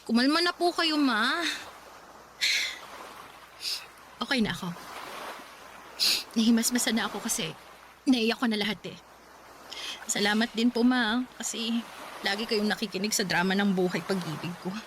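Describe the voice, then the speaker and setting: A woman's voice: a little high, normal speed, long pauses, fairly bright tone, slight background noise, natural voice. A woman with a Filipino accent playing a stubborn, exasperated, heartbroken young woman in a Tagalog radio drama. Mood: sad, calming. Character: heartbroken, stubborn, sassy grown daughter in late twenties.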